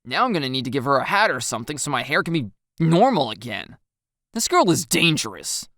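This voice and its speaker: Woman playing a sulky, low-voiced teenage boy. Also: sing-song, brisk, a bit bright, dry recording, clean studio sound, exaggerated voice. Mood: exasperated. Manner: sulky, sarcastic, complaining. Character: exasperated, embarrassed teenage boy, grumbling and put-upon.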